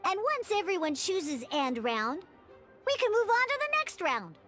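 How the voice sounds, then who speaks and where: A woman playing a small, bubbly, childlike girl companion in a video game, with a squeaky voice and a perky, encouraging way of explaining. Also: sing-song, unhurried, fairly bright tone, faint music behind, exaggerated voice. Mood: cheerful, instructive. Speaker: game tutorial guide. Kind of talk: animated video game character tutorial dialogue.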